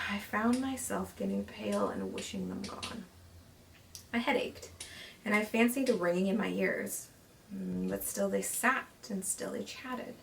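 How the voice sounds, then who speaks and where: Distant mic, faint noise, woman, creaky voice, theatrical horror storytelling, hushed to emphatic delivery. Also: medium pitch. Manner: theatrical, with sudden hushed whispers and swells. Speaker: amateur reader of classic horror tales. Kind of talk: dramatic read-aloud of a gothic horror story. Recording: distant mic, clearly noisy home recording.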